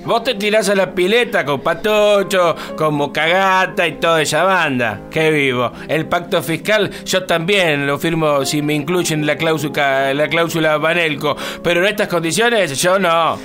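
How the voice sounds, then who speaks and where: A man playing a slow-witted, blunt governing politician who stumbles and hesitates, with an Argentine accent. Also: on the high side, a bit bright, faint music behind, lightly acted. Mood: blunt, sly. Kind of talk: political satire radio sketch.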